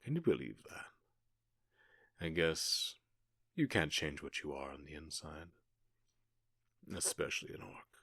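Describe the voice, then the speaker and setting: A man playing a smug, cruel outlaw gang boss in an ASMR roleplay, taunting with theatrical pauses and mock-polite menace. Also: extremely low pitch, big pitch swings, bright, forceful tone, husky, no echo, studio quality, full character voice. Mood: mocking storytelling.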